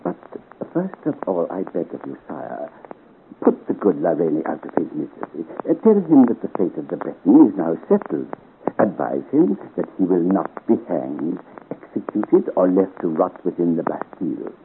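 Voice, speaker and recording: An actor playing a scheming, deferential French duke in a 1950s radio serial, speaking in a courtly, persuasive way. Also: male voice, sits low, sing-song, creaky, heavily acted. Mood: confident, sly. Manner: courtly, deliberate, persuasive, with calculated hesitations. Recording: scratchy, tinny 1950 radio serial recording.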